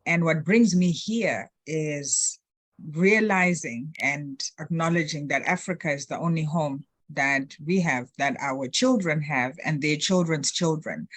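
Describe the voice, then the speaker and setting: A woman introducing herself on a video call, measured and heartfelt. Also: deep voice. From Zimbabwe.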